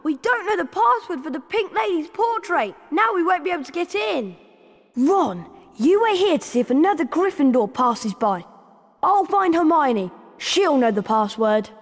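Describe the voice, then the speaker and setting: An earnest young British boy from a video game, pitched high with animated swings. The voice rings in a long reverb over music. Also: moderate pace, exaggerated voice.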